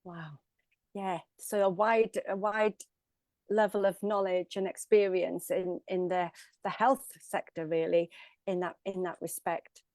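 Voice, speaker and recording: Woman interviewer, mid-pitched voice, dry close sound, affirming, rephrasing questions. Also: darkish tone, no room sound. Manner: affirming, rephrases before asking. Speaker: interviewer, host. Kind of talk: conversational interview.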